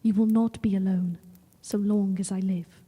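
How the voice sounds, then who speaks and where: Young gothic heroine, played by an actress: very mellow, husky-edged, devoted and composed, in long reverb. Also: female voice, mid-range voice, steady tone, lightly acted. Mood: devoted, steady. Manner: formal, measured, old-fashioned phrasing. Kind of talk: audio drama acting and first-person narration.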